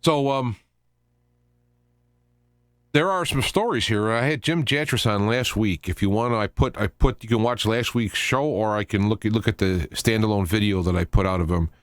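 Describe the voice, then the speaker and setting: A man hosting a live talk radio show, giving unscripted commentary in a rambling, halting way with stops, starts and digressions. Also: fairly low pitch, no echo, clean studio sound.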